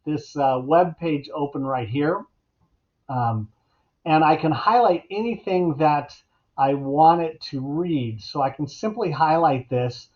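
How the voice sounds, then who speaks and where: A middle-aged man giving a casual, instructional software tutorial as an educator at his computer. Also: fairly high pitch, slow pace. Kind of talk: casual instructional screencast narration. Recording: dull, lo-fi computer mic sound.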